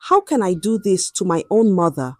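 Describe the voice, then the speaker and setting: A woman's voice: fairly low pitch, big pitch swings, moderate pace, no echo, studio quality, faint music behind. A woman voicing a poor, fearful, troubled son in a narrated folktale, anxious and pleading.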